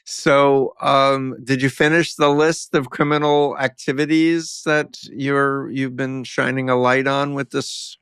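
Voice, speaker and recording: A man's voice: medium pitch, flat delivery, slow, few pauses, a bit bright, smooth, dry recording, studio quality. A man hosting a podcast interview, speaking earnestly and sometimes repeating words as he starts. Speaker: podcast host. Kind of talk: interview conversation.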